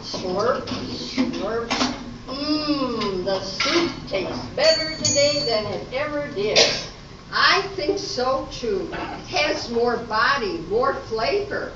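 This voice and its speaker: A fussy lady diner, acted by a woman in an amateur radio comedy, with big theatrical delivery. The voice is high and slow, caught from a distance in an echoey, noisy hall. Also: expressive. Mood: savoring. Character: fussy female diner complaining about her food. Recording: roomy hall, distant mic, dull, noisy.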